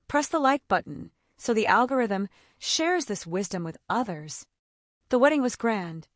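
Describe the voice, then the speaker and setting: A woman storyteller narrating a scripted folktale in a theatrical, suspenseful manner with short measured phrases. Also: fairly high pitch, no room sound, clean studio sound.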